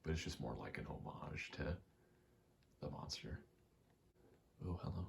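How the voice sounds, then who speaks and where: A soft-spoken man giving rambling ASMR game commentary, halting and casually profane, often slipping into a whisper. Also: rumbling low, animated, creaky, slight background noise. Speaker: gaming video creator.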